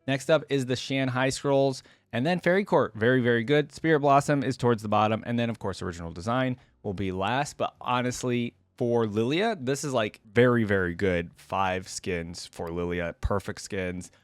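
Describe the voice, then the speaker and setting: A man's voice: mid-range voice, moderate pace, few pauses, clear, resonant tone, no echo. A man giving casual, enthusiastic reaction commentary as a video game fan on his channel.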